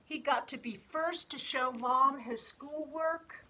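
Woman reading as a bright-voiced, indignant young child. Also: high-pitched, slow pace, heavily acted. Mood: exasperated. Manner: whiny, emphatic complaining. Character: jealous, indignant eight-year-old third grader griping about younger brother. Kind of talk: expressive children's picture book reading.

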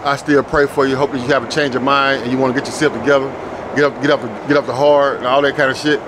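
Guy filming a street interview, talks fast and teasing, a bit high pitched, light traffic hum. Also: little pitch movement. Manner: quick, teasing, repeats himself. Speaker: street interviewer and channel host. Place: outdoors by a building and parking lot.

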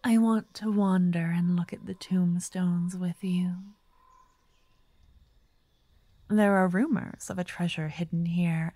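A woman playing a wealthy, romantic vampire writer in an intimate ASMR roleplay, speaking softly and breathily, often whispering, with an elegant, flirtatious manner. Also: lowish voice, no room sound. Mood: coaxing. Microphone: close microphone in a dry, quiet space.

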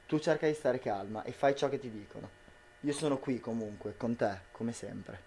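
Devoted husband for radio drama, young male actor: mid-pitched, earnest, tender, worried. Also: normal speed, darkish tone, slight background noise, close to an everyday voice. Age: young adult. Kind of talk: amateur radio drama acting.

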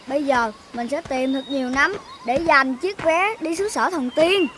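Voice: high-pitched, normal speed, very noisy, close to an everyday voice. A performer voicing a greedy, boastful, chubby young animal in a children's cartoon, with a southern Vietnamese accent. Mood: greedy delight. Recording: music behind the voice.

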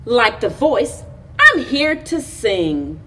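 A middle-aged woman with a slightly husky voice reads a children's picture book aloud expressively, phrasing deliberately with pauses between short lines. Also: on the high side, sing-song, bright, forceful tone. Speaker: library story time reader. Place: indoors, in front of a library chalkboard wall. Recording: distant camera microphone.

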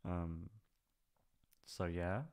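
Low-voiced man rambling casually, smooth tone. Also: level tone, very slow, a little mellow. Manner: rambling, hesitant, self-deprecating, thinking aloud. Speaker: audio channel creator, voice actor. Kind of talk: unscripted casual farewell vlog.

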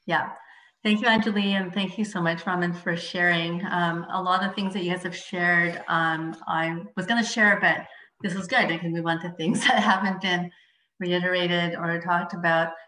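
A woman's voice: lowish voice, fairly even intonation, moderate pace, reverberant. A woman family physician whose first language is Mandarin, presenting on a webinar video call in a chatty way, with many fillers and restarts. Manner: chatty, frequent fillers and restarts, laughs softly.

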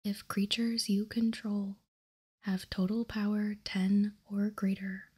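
A woman whispering softly for ASMR, reading aloud in deliberate, measured short phrases close to the microphone. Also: medium pitch, flat delivery. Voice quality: soft, breathy whisper. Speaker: ASMR video host reading trading cards. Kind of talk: whispered ASMR, slow card read-out.

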